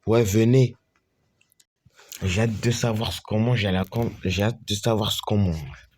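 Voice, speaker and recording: A man with a lowish voice talks his way slowly through a homemade school story, playing every part himself. He stumbles and repeats phrases as he goes. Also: a little mellow. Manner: halting, repetitive, restarts mid-phrase. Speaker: storyteller voicing all characters. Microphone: screen-recorder capture.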